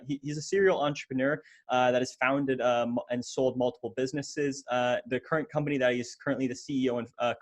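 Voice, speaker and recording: Eager, stumbling young podcast host. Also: male voice, medium pitch. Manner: eager, stumbling with many "like" and repeated words. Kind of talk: casual interview hosting. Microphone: podcast microphone in frame.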